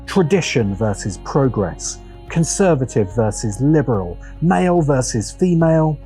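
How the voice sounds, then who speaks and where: A man narrating a scripted video essay with deliberate, dramatic phrasing. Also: mid-range voice, very animated, dark tone, soft background music.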